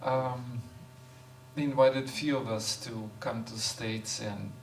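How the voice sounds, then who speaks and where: A man in his fifties, a visiting monk, speaking in a relaxed, unhurried way with light laughs as he introduces himself in a temple hall. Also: medium pitch, vocal fry. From Croatia. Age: 50s. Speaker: visiting monk giving a talk. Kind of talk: informal talk, introducing himself. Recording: echoey room, voice far from mic, background noise.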